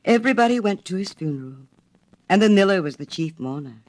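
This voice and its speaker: A woman's voice: medium pitch, expressive, fairly quick, rough recording. A woman reading a literary story aloud in a theatrical manner, with dramatic phrasing. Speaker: storyteller, reader. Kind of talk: expressive literary storybook narration.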